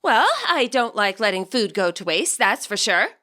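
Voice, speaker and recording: A woman's voice: medium pitch, lively intonation, moderate pace, pushed and bright. A woman voicing an upbeat, easygoing teenage girl in a storybook reading, cheerful and practical.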